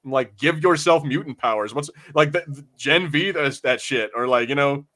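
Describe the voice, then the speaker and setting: A man co-hosting a podcast, talking in a casual, slangy, animated way with some swearing. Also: medium pitch, normal speed. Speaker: podcast host discussing a show. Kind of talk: casual group discussion with swearing.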